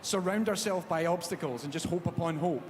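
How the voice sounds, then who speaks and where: Scottish man hosting a lively panel. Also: a little high, fairly quick. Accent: Glaswegian Scottish. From Scotland. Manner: jokey, animated storytelling. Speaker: panel host. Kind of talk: live panel chat. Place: large theatre stage. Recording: echoey hall, background noise.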